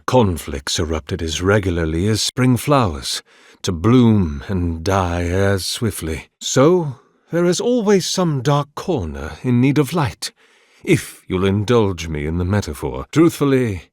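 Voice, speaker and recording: An actor playing an ancient, weary, devout priest in a video game, speaking formally and eloquently with solemn dignity. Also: male voice, lowish voice, a little mellow, no echo, studio quality. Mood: reflective, measured. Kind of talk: dramatic video game dialogue.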